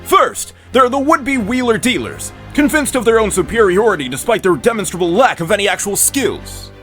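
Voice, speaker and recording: Male actor for a grandiose villain scientist: high and swooping, scornful, theatrical. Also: very animated, moderate pace, fairly bright tone, soft background music. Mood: sneering. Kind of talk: fan comic dub voice acting.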